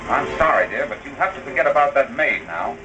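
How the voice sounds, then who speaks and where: An actor playing an exasperated, thrifty young working husband in a 1930s melodramatic film style. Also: male voice, mid-range voice, animated, quick pace, noisy background, lightly acted. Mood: calm, agreeable. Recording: dull old film soundtrack, music behind.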